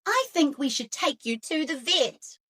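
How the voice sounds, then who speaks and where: A woman's voice: high voice, expressive, measured pace, a bit bright, voice pushed up. A woman voicing a caring, earnest young girl in a picture-book read-aloud. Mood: concerned. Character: Katy, caring young girl who dotes on her cat.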